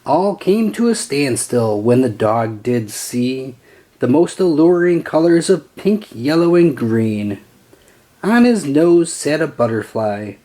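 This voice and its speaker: A man reading a children's storybook aloud with an expressive, sing-song storytelling delivery. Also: mid-range voice. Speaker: storybook reader.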